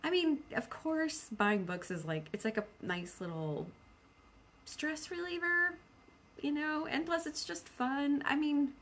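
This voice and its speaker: A woman's voice: fairly high pitch, slight background noise. A woman chatting casually and spontaneously as a book vlogger, rambling in a playful, self-deprecating way.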